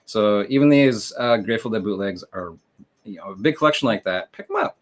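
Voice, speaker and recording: Male livestream host, on the low side, conversational explainer with a hesitant, meandering flow. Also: expressive, brisk.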